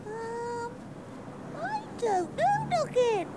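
Childlike, bossy rag doll girl for puppet show, very high and unhurried, music underneath. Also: big pitch swings, very noisy, exaggerated voice. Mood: curious, excited. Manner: childlike, giggly, exclaiming. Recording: music behind, old VHS tape.

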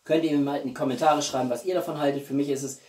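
A man's voice: on the high side, fairly even intonation, very fast, darkish tone. Roomy, distant-miked man chatting. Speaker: YouTube host. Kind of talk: casual chat to camera. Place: small living room at home, indoors. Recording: roomy echo, distant microphone.

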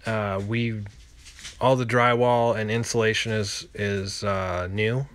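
Landlord guy in his 30s, casual unscripted voiceover, takes his time, halting, clean voice. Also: on the low side, level tone, fairly bright tone, clear, resonant tone. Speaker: landlord and home renovator.

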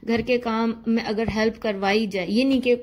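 Woman from pakistan vlogging on her phone, teasing and chatty, kinda high voice. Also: steady tone, moderate pace, a little mellow. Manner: teasing, chatty, laughs often. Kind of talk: casual chat and vlog narration. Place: home kitchen, then a car at night.

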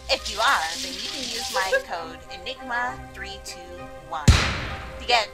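A woman's voice: medium pitch, animated, unhurried, over loud music, lightly acted. A woman voicing a smug schemer with polite, hesitant sales patter in an improvised comic game dub. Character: smug schemer peddling a meat giveaway over comms.

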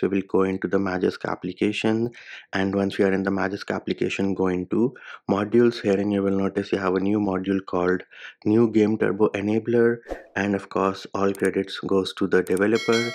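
A man with a fairly low voice narrates a step-by-step tech tutorial. His delivery is measured and instructional, with very little melodic movement from one phrase to the next. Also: darkish tone. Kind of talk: step-by-step phone tutorial voice-over.

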